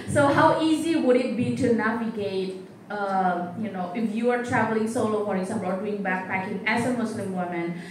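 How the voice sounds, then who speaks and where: A woman from Indonesia asking long, rambling interview questions with many fillers. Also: mid-range voice. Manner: chatty, rambling with fillers and restarts. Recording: roomy echo, distant mic, faint noise.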